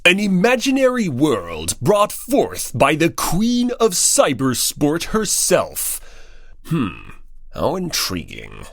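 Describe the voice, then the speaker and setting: An audiobook reader voicing a theatrical young man who styles himself a dark overlord, a man's voice with pompous, dramatic delivery. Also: on the high side, wildly swooping pitch, slow, creaky, no room sound, studio quality, exaggerated voice. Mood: intrigued, grandiose. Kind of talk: audiobook character acting.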